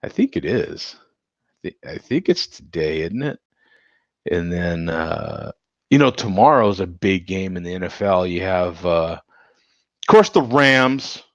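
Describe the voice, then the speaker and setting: Male beer-show host, low lively voice, slow tipsy rambling, dull dry home mic. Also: dry recording. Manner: rambling, laughs often, talks to chat viewers. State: tipsy from drinking. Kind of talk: casual live-stream chat.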